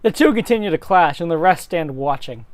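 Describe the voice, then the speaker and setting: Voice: medium pitch, expressive, brisk, no room sound. A narrator giving a dramatic reading of a fan story in a hammy storybook style, with casual self-aware asides.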